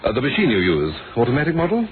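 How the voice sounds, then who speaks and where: An actor playing a measured, shrewd police inspector, courteous and probing, with thoughtful hesitations in a radio drama. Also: male voice, medium pitch, wildly swooping pitch. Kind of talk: 1970s radio drama acting. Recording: tinny, noisy old radio recording.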